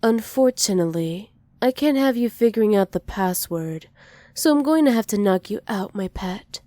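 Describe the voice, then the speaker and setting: A woman playing a dominant, possessive villain who dotes on a captive, softly menacing and teasing in an ASMR roleplay. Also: mid-range voice, expressive, normal speed, dry recording, close to an everyday voice. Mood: startled, annoyed. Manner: soft, teasing menace with breathy whispered endearments.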